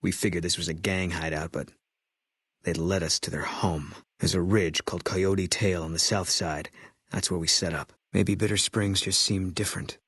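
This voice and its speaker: A haunted ex-soldier sniper from a video game, speaking in a deep, husky voice. He is terse and stoic, with a grim fatalism in his delivery. The sound is dry and close. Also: steady tone, normal speed, fairly bright tone, no echo, heavily acted. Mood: haunted, resigned. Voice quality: low, husky. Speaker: video game companion character.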